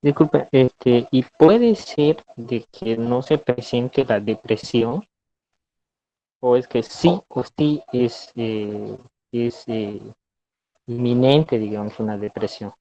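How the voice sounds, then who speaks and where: A man with a Peruvian Spanish accent, a student asking a question in class, speaking haltingly with hesitations. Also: mid-range voice, mellow. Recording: distant, muffled classroom recording.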